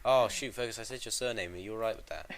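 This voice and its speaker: A man's voice: lowish voice, fast talker, fairly bright tone. A British teenage boy with a congested, bunged-up voice from a cold, chatting casually as a podcast host and often stammering over repeated words. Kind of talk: casual chat.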